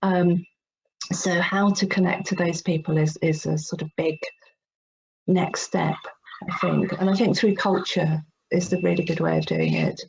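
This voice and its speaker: A woman's voice: fairly low pitch, lively intonation, unhurried, vocal fry, a little mellow. A woman speaking as a guest in an informal online discussion, thoughtful and working out her ideas aloud. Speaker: guest presenter, teacher. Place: online video call. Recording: dull, noisy online call with clicks.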